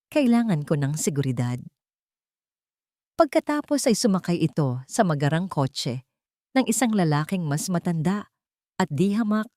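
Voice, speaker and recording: Low-voiced woman narrating with lively intonation. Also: no echo, clean studio sound. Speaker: story narrator. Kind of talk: dramatic scripted romance narration.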